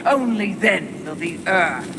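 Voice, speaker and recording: A woman's voice: lowish voice, expressive, slow pace, vocal fry, exaggerated voice. An actress voicing a jealous, gloating female demon in a video game, savouring each phrase with theatrical, vengeful sneering. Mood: gloating, menacing. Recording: loud noisy effects behind.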